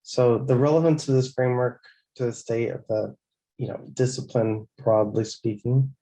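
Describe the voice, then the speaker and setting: A man's voice: medium pitch, fairly even intonation, moderate pace, dark tone, low-quality recording. A man giving an academic conference talk, thoughtful and hedging with fillers. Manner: thoughtful, hedging with "you know" and "uh". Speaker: conference speaker.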